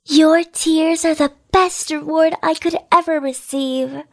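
A small female songbird from a children's fairy tale. Her voice is extremely high and takes its time. She sounds gentle and cheerful. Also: steady tone, takes it slowly. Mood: tender, humble.

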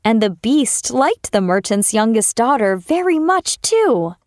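A woman's voice: fairly high pitch, very animated, unhurried, darkish tone, no room sound, clean studio sound. A woman narrator reading a scripted fairy tale for children.

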